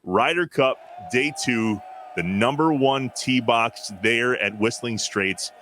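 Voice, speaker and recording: A man's voice: medium pitch, slow pace, pushed and bright, a little hiss or hum. An American man co-hosting a casual sports talk show, telling stories with enthusiasm and emphasis.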